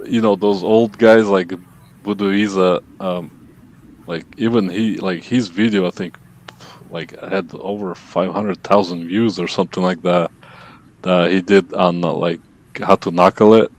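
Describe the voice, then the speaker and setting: Low-voiced man hosting a casual podcast. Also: fairly even intonation, unhurried, low-quality recording. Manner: loose, filler-heavy, asks questions. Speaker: podcast host. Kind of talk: casual interview chat.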